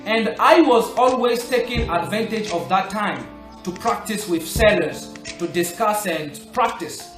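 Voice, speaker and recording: Man, French native, high voice, earnest coaching tone, roomy echo, faint music underneath. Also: lively intonation, measured pace. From Republic of the Congo. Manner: earnest, coaching, stresses key points. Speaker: language-learning vlogger. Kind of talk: motivational talk to camera.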